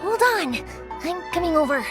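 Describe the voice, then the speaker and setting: A woman's voice: very high-pitched, expressive, normal speed, music in the background. An actress playing a friendly, caring young woman who makes fireworks, in an English anime game dub. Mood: reassuring, secretive. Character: bright, friendly young female fireworks maker, caring daughter.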